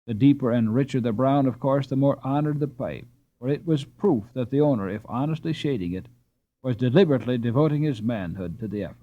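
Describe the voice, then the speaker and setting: A man reading a children's story aloud as an audiobook narrator, with an expressive and deliberate storytelling delivery. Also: mid-range voice, phone-quality sound. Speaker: audiobook reader.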